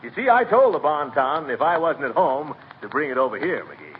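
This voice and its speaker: An actor playing a pompous, hearty middle-aged neighbor in a 1940s radio comedy. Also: male voice, on the high side, big pitch swings, fairly quick. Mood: hearty, expectant. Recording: tinny 1940 radio broadcast, faint noise.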